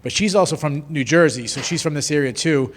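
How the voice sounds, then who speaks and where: A man's voice: on the high side, normal speed. A man reporter asking direct questions, often opening with "uh". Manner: direct, begins with "uh". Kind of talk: press questions. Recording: echoey hall.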